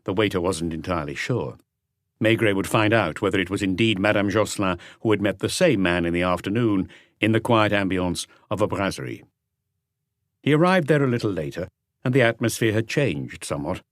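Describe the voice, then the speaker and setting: Deep male audiobook narrator, really moves his pitch around, dry sound. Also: expressive, a little fast, no echo. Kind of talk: scripted mystery novel narration.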